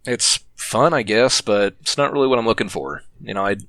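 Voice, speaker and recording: A man's voice: lowish voice, expressive, fairly quick, a bit bright, dry recording. A man rambling through anecdotes as a podcast guest, chatty and laughing often. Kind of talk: casual podcast chat.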